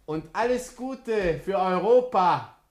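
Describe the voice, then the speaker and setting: An actor playing an obsequious, chatty barber who flatters his customer with servile, flowery politeness in a radio play. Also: high voice, takes it slowly, soft background music. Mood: obliging.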